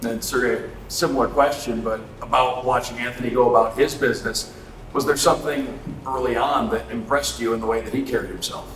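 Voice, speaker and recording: A man hosting a conversational sit-down sports interview, asking measured, wordy questions. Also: mid-range voice, steady tone, fairly bright tone, recorded from a distance, a little hiss or hum. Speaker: interviewer, sports radio play-by-play host. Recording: echoey reverberant room, off mic, music.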